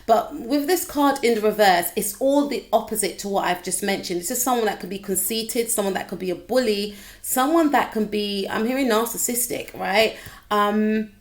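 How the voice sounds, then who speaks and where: Croaky-voiced woman doing a tarot reading. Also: mid-range voice, normal speed. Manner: chatty, repetitive, addresses viewer directly. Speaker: tarot reader. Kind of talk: casual tarot reading to camera.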